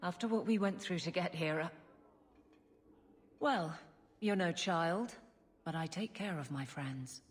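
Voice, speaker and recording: A woman's voice: fairly low pitch, unhurried, pauses often, natural voice. An actress voicing a blunt, loyal woman guard and former soldier in a video game, plain-spoken and dry. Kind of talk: video game character dialogue.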